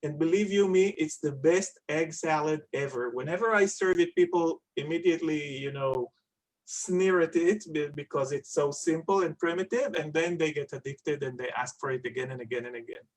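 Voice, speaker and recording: A man, telling stories in a lively, theatrical way and hosting a webinar over a video call. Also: a little high, normal speed, rough recording. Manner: animated, theatrical storytelling with playful humor. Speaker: webinar moderator and chef. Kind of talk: casual storytelling on a video call.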